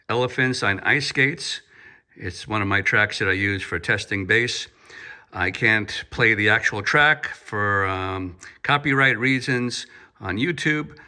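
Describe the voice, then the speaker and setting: A man's voice: lowish voice, bright, forceful tone. A man giving a casual, explanatory audio gear demonstration, speaking in short, piecemeal phrases as a reviewer. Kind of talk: casual explanatory product demo.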